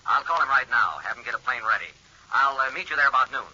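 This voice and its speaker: A smooth, condescending businessman from a 1940s radio play. His voice is bright and forceful, heard through a dull old recording. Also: medium pitch, lively intonation, quick pace, soft background music, exaggerated voice. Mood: businesslike. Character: smooth-talking, condescending male insurance company man. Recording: muffled noisy vintage radio recording.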